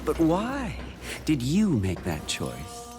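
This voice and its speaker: Video game demon: androgynous seducer, slow, drawn-out taunts, music behind. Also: mid-range voice, huge pitch swings. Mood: taunting, coaxing. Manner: theatrical, drawn-out, coaxing. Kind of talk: theatrical video game acting.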